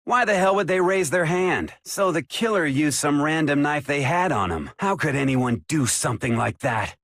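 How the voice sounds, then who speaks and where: A man's voice: on the high side, animated, moderate pace, fairly bright tone, no room sound, clean studio sound. A man playing a brash, hot-headed, blunt young character in a voice drama. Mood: exasperated. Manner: blunt, exasperated, swearing. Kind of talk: character voice acting audition reel.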